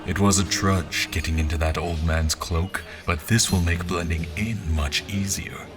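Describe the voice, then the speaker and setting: A man's voice: low-pitched, steady tone, moderate pace, lightly acted. A man playing a wary, brooding general who narrates his own fantasy tale with a wry, literary turn. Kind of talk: scripted first-person fantasy narration. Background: music.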